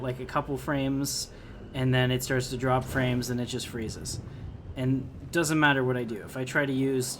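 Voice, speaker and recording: A man hosting a gaming video with casual, conversational playthrough commentary. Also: mid-range voice. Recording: clear noise behind the voice.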